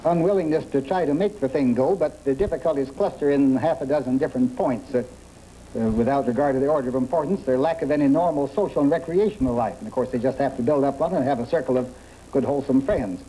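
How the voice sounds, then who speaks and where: An older man, a marriage counselor guest on a quiz show, explaining in a measured, lecturing way. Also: medium pitch, warm tone, rough recording. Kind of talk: interview answers. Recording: 1950s broadcast recording with faint hiss.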